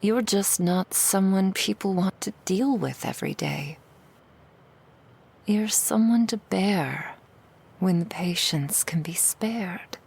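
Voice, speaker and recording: A woman whispering as a cruel, raspy demoness. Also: mid-range voice, a little mellow, voice pushed up, full character voice. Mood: cruel taunting. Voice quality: breathy whispering. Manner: slow, mocking, intimate taunts. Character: seductive cruel female demon, taunting and manipulative. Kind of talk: ASMR roleplay.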